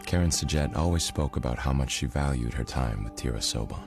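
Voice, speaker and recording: A man playing a solemn narrator of a space saga in a game cutscene, grave and measured. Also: a bass voice, music in the background. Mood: solemn, reverent.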